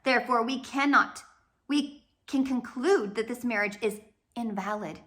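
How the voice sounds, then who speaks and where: A narrator plays a kindly woman judge in a children's storybook. She speaks carefully and earnestly, weighing her words. Her voice is bright and a little high. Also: measured pace. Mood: careful, deliberate. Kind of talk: storybook character voice.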